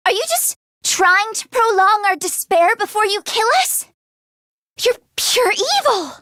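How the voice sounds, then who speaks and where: Game casting: girlish teen mechanic, very high-pitched, anxious yet determined, expressive, dry studio sound. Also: no echo, full character voice. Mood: desperate. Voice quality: youthful, girlish. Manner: expressive, emphatic, exclamatory. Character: excitable teenage girl mechanic, anxious but determined. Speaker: video game voice actress. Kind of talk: animated video game character acting.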